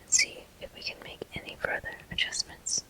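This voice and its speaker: A soft ASMR whisper guides the listener through a video game. The voice is breathy, very bright and sibilant, with almost no voiced tone. Phrases come short and deliberate, unhurried, as a host would narrate gameplay. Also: rough recording.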